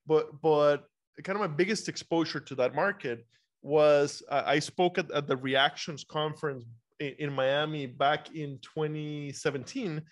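A man's voice: a little high, steady tone, a little slow. Chatty Costa Rican-raised male host. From Costa Rica. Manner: chatty, repeats words as he starts sentences. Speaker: podcast host. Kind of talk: casual interview chat. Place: bright home room. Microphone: video call with over-ear headphones.

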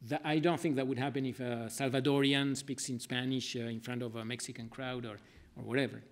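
A man's voice: medium pitch, level tone, a little fast. Hesitant middle-aged man at a podium. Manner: hesitant, many restarts and fillers. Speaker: speaker at an academic forum. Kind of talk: answering audience questions at a talk. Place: lecture room with audience.

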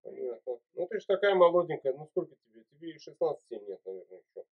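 A man's voice: fairly high pitch, very animated, fast talker. A man in his forties chatting over a video call from a small room at home, giving fatherly encouragement. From Uzbekistan. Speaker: video chat host. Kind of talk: casual video chat. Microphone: webcam mic. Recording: tinny phone-like webcam audio.

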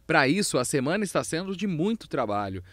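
A man's voice: a little high, a little fast, no room sound. A male field reporter reading a scripted sports voice-over. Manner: animated read delivery.

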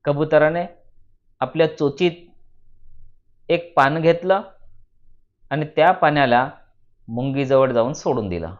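Higher-pitched male storyteller, slightly muffled sound. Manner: didactic, deliberate, repeats phrases for emphasis. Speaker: storyteller and channel narrator. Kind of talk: children's moral story narration. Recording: dull lo-fi voice recording.

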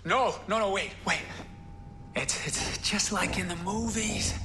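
An actor playing an eager, lonely amateur tinkerer who talks in an excitable, halting and awkward way. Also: male voice, roomy sound. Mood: giddy. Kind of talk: video game cutscene acting. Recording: clear rumbling background noise.

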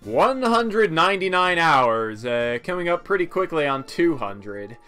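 A man's voice: on the high side, sing-song, measured pace, sharp tone, clean tone. Laid-back man doing game commentary. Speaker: video game player and commentator. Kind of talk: casual gameplay commentary. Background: video game music.

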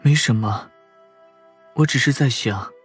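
A breathy, wounded young man. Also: medium pitch, a little slow, soft background music. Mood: fading tender. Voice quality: breathy, sighing. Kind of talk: audio drama acting.

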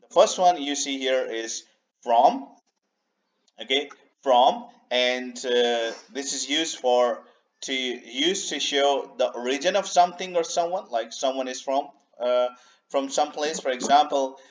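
A man teaching an English grammar lesson over slides, unhurried, repeating himself and filling pauses with "okay" and "uh". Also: medium pitch, highs cut off, slight background noise. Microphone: voice-over on a screen recording.

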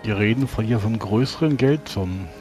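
A man speaking in character in a tabletop role-playing game, arguing in a deliberate, formal way. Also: on the low side, darkish tone, soft background music. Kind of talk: in-character improvised game dialogue.

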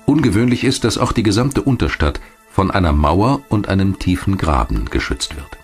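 A man reading scripted documentary narration in a measured, clearly articulated storytelling manner. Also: deep voice, animated. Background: music behind the voice.

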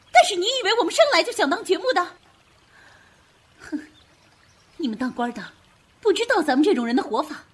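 High, animated female grave robber played by an actress. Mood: bitter. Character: superstitious, jumpy, outspoken female grave robber in wartime. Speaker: voice actor in an audio drama. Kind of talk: Chinese audio drama acting.

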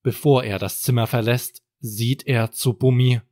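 A man's voice: mid-range voice, fairly even intonation, unhurried, a little mellow, no room sound, studio quality. A man narrating a scripted bedtime story for children as a storyteller.

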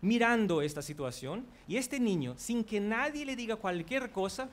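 A Brazilian-accented man, a native Portuguese speaker, lectures on psychology with earnest energy. His voice sits fairly high for a man. He speaks into a headset mic in a large auditorium. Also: animated, moderate pace. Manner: earnest, walks the stage while talking. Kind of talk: public lecture. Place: large auditorium before an audience.

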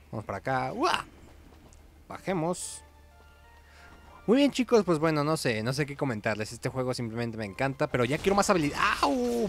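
Guy doing casual game commentary. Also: a little high. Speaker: gaming video host.

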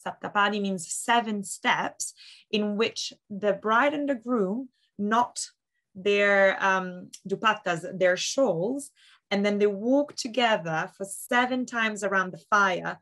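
A woman's voice: medium pitch, slow, a bit bright. A woman in her thirties, an auction house specialist, talking with enthusiasm and many fillers on a video call from a study at home. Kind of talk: expert explaining art in a video call interview. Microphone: video call webcam.

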